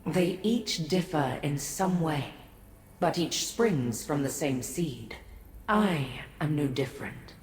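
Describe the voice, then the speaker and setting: An actress playing an ancient, aloof godlike being in a fantasy game, speaking formally and with haughty, cryptic condescension. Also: female voice, low voice, animated, a little mellow, slight background noise. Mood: cryptic, solemn. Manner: measured, formal, condescending to mortals. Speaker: video game character. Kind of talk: scripted fantasy game dialogue. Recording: echoey, long reverb.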